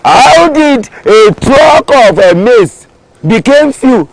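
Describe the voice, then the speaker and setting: A wronged snail farmer with a Nigerian English accent cries out and demands answers, as a male storyteller puts him on. The voice sits high and swoops widely. Delivery is slow and drawn out. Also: big pitch swings, dry recording, heavily acted, poor audio. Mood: puzzled. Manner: exclaiming, questioning.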